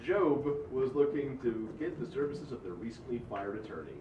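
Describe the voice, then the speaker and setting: Wry comedy narrator, male reader, animated pitch, echoey noisy table-read recording. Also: moderate pace, natural voice. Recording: distant, echoey, dull recording with clear noise.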